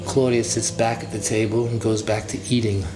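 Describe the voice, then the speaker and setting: A man's voice: on the low side, little pitch movement, fairly quick, rough recording. A man narrating a literary audiobook in the first person, with dramatic delivery. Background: music.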